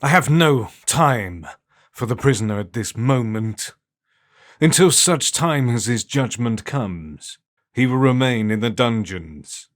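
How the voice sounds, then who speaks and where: A man playing a stern, commanding old king of the Norse gods in a fan audio drama, speaking gravely and formally. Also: medium pitch, lively intonation, slow pace. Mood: dismissive.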